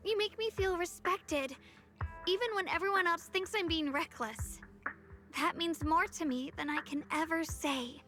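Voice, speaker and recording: A woman voicing a gentle but determined young swordswoman in an anime game, with a soft, youthful, sincere delivery. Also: extremely high pitch, measured pace, faint music behind, exaggerated voice. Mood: tender, sincere.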